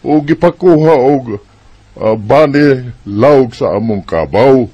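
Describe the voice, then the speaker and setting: A man with a Bisaya Filipino accent acting as a worried, earnest rural father, speaking haltingly in a radio drama. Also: mid-range voice, lively intonation, warm tone, no echo, lo-fi sound. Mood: anxious, pleading. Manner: hesitant, halting.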